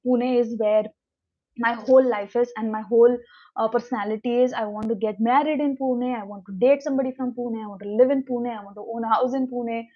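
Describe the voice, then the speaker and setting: A young woman with an Indian English accent, a Konkani native speaker, talks in a loose, rambling way on a motivational livestream and stops to answer viewer comments. Her voice sits a little high. The audio is dull and lo-fi. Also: steady tone, a little fast. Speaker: livestream host. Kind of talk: casual motivational livestream chat. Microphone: new mic, livestream.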